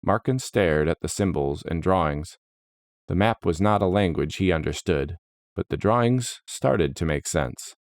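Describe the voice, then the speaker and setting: A man narrating a scripted fantasy story in a solemn, dramatic, measured manner. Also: low voice, animated, no room sound, clean studio sound.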